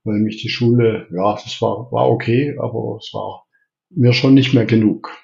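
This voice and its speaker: A man in his sixties with a Swabian German accent, answering interview questions in a relaxed way with frequent hesitations and restarts. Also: on the low side, moderate pace, gravelly, muffled recording. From Reutlingen, southern Germany. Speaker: interview guest, interim executive.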